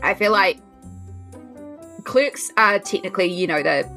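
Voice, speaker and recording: A woman chatting casually as a player in a tabletop role-playing game, reasoning out loud in an informal way. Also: medium pitch, measured pace. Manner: informal, thinking aloud. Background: background music.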